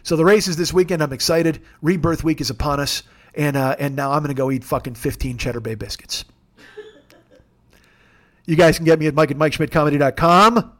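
A man in his forties hosting a podcast alone, talking in a rambling, jokey, self-deprecating way with a gravelly voice. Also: a little high, fairly quick, a bit bright. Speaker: podcast host. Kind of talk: casual solo monologue.

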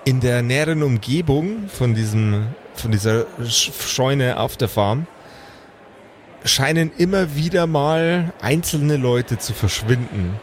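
A man's voice: mid-range voice, animated, takes it slowly. Game master, male, middle register, improvised tabletop narration, noisy backdrop. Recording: clear background noise.

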